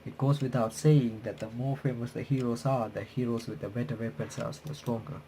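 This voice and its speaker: A man's voice: medium pitch, flat delivery, brisk, mellow, slight background noise, low-quality recording. A man doing a game playthrough, reading on-screen text aloud with casual commentary, often stumbling and correcting himself. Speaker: host of a game playthrough and reaction channel.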